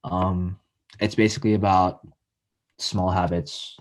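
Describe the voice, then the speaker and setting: A nineteen-year-old man talking as a guest in a casual interview, halting and earnest, with lots of filler words. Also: sits low, level tone. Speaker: podcast guest, college student.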